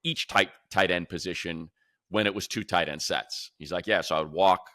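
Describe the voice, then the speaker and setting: A man hosts a sports talk show in a very low voice with a bright, forceful edge. The sound is dry and close. He speaks conversationally, slipping into other people's words as he makes his points. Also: moderate pace, no room sound. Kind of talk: casual sports talk and commentary.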